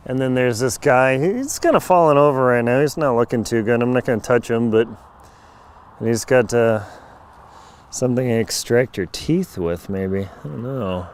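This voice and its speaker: A middle-aged man, a bit hoarse, chatting wryly to a handheld camera outdoors at a farm, with jokey asides. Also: mid-range voice, animated, unhurried, pauses often, slight background noise. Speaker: travel vlogger. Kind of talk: casual handheld travel vlog. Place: outdoors on a rural farm lot. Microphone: handheld camera mic at arm's length.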